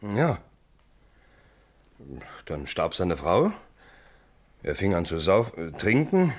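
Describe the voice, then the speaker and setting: A sheepish but blunt former convict. The voice sits fairly low and moves expressively, with colloquial phrasing in the style of a radio crime play. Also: male voice, very animated, slow, exaggerated voice. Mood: confessing. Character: blunt, sheepish male ex-convict amateur sleuth. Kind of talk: German radio crime drama acting.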